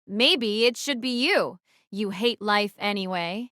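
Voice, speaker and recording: A woman's voice: medium pitch, slow, bright, forceful tone, no room sound, studio quality. A woman acting in an audio drama as a troubled, defiant young survivor who narrates her own thoughts in a dramatic, introspective way. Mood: guilty, shaken.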